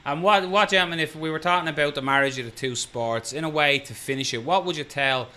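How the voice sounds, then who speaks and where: A man's voice: on the high side, fast talker, bright, forceful tone. A man hosting a podcast, putting listener questions to his guests with hesitant, filler-filled lead-ins. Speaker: podcast host.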